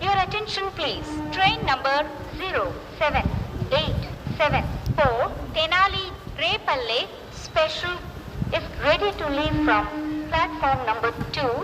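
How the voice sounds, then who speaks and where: A woman giving formal, measured train announcements in segmented, pieced-together phrases over station loudspeakers, in a noisy recording. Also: high voice, animated. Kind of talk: formal multilingual train arrival and departure announcement.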